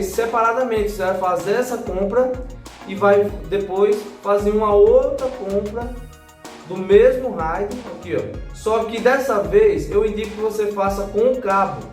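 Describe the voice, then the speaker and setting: A man's voice: high-pitched, a little mellow, roomy sound. A Brazilian man giving a casual tutorial voice-over as a gadget reviewer, instructing viewers directly with frequent check-in tags. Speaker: gadget reviewer and channel host.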